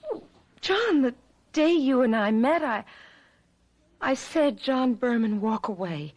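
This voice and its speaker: A wife frozen at eighteen and weary of endless life. Her voice is fairly high and her delivery very slow. She speaks in halting, melodramatic radio drama phrases, full of sorrow. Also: expressive. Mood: confessing, defensive. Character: ageless eternal eighteen-year-old wife, world-weary, melodramatic, sorrowful.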